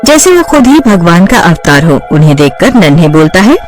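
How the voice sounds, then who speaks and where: A woman's voice: on the low side, sing-song, moderate pace, faint music behind. A woman reading scripted narration for a cartoon story.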